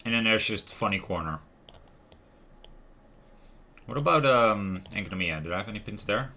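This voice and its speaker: Low, bright-voiced man musing over a game. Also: normal speed. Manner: musing aloud, trailing off and questioning himself. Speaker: livestream host playing a video game. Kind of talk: casual gaming livestream commentary. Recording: music playing behind the voice. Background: lo-fi music, keyboard typing and clicks.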